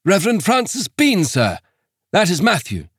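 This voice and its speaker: A desperate preacher, pitched well above the reader's own voice, cries out in breathless pleading, as a man acts him out. The storybook scene is read close and dry. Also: huge pitch swings, moderate pace, fairly bright tone, slightly rough, no room sound, exaggerated voice. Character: frantic desperate male missionary preacher.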